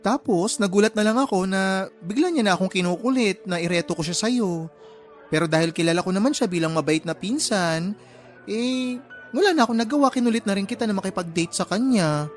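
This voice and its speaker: Casting: male actor for caring, teasing young guy best friend, radio drama; expressive, coaxing, light music underneath. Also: high voice, a little fast, lightly acted. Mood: startled, concerned. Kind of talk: Tagalog radio drama acting.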